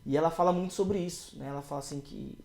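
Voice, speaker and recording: A man's voice: fairly high pitch, quick pace, gravelly, a little mellow, roomy sound. A man from Brazil, a pastor hosting a church podcast, asking long winding questions in an earnest way. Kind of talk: casual podcast interview.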